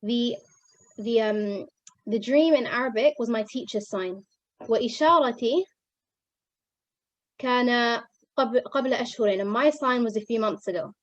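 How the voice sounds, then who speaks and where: Woman, young adult, from Pakistan, higher voice, earnest testimonial, dry close sound. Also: moderate pace, long pauses, no room sound, highs cut off. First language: Urdu. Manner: earnest, many fillers and restarts, switches between Arabic and English. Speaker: student giving a testimonial. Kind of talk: speech to fellow students.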